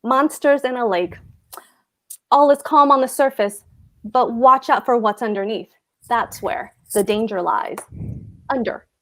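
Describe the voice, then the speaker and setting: A woman's voice: on the high side, measured pace, long pauses, a bit bright. A woman reading the part of a pompous, anxious husband, a fussy government clerk, at a table read of a stage comedy. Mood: brooding, pompous. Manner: pompous, hectoring, fretful.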